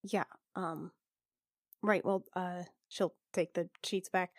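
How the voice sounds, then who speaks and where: A woman playing a tabletop roleplaying game, talking casually and haltingly, in and out of character. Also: lowish voice, steady tone, no room sound, studio quality.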